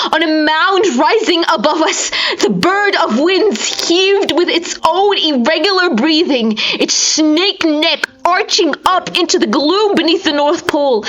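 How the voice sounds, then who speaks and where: A woman narrates a horror story with great theatrical intensity. Her voice is high and her pace is slow and drawn out, with music playing softly behind her. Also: muffled recording. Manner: intense, theatrical, swinging into whispers and anguished cries. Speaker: narrator reading a short story aloud. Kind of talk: dramatic horror audiobook narration.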